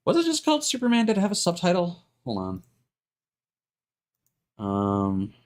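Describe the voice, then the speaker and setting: A man's voice: sits high, wildly swooping pitch, moderate pace. A man chatting casually as a live streamer, rambling and thinking aloud with hesitant self-corrections.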